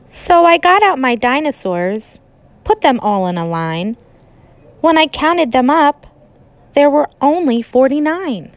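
A woman teacher reading a children's storybook aloud in an expressive, emphatic way with dramatic pauses. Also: high-pitched.

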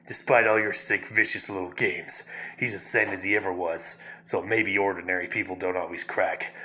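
A man acts out a grim comic-book vigilante, weary and brooding. The voice is low and hard-edged. He speaks sternly and deliberately, with tight restraint. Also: steady tone, sharp tone, low-quality recording. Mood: stern, defiant. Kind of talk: fan dramatic reading of a comic.

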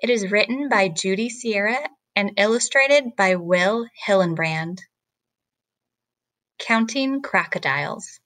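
A woman reads a children's picture book in the manner of a teacher. Her pace is slow and her phrasing rhythmic and expressive, with an average pitch and a dry sound free of room echo. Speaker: teacher reading aloud.

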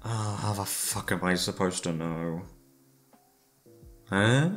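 A man with a gravelly voice rambling casually, tired and downcast, hesitant and self-deprecating, swearing often. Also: low-pitched, slow. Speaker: audio creator talking to listeners. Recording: music playing behind the voice. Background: soft background music.

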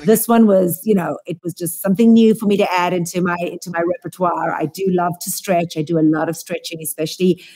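A woman's voice: lowish voice, fairly even intonation, brisk, hardly any pauses, mellow. Casting: South African woman, forties, talkative co-host, webcam sound from a room at home. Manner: chatty, lots of ums, enthusiastic. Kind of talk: casual chat. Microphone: webcam on a video call.

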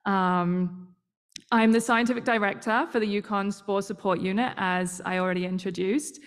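A woman, a scientific director presenting slides on a video call in a friendly, measured way with frequent ums. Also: medium pitch, steady tone, clear, resonant tone. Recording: roomy reverb.

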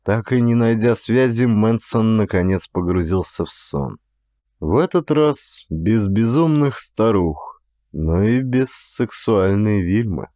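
A man's voice: on the low side, lively intonation, slow pace, warm tone, no room sound, studio quality. A man reading a thriller audiobook as a dramatic, scripted narration. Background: background music.

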